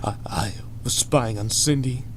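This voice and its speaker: A man's voice: mid-range voice, unhurried, a little hiss or hum, close to an everyday voice. A man voicing a resentful, put-upon brainy schoolboy with a sullen, hesitant manner in a story reading. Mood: meek.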